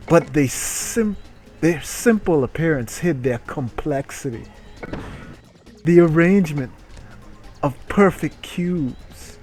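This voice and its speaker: Man, higher-pitched, unhurried, comedic reading, exasperated sweary asides, self-interrupting. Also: a little mellow. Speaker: streamer reading a story aloud while playing a game. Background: music.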